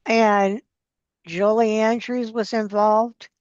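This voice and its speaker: A woman's voice: a little high, flat delivery, slow pace, a little mellow, smooth, dry recording. A woman hosting a radio interview show, enthusiastic and asking her guest leading questions. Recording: dry, slightly dull lo-fi audio.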